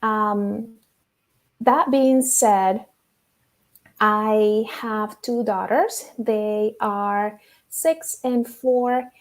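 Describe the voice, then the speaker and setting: A woman's voice: medium pitch, extremely slow. Thoughtful woman counselor from Venezuela. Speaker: mental health counselor, podcast guest. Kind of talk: casual interview talk.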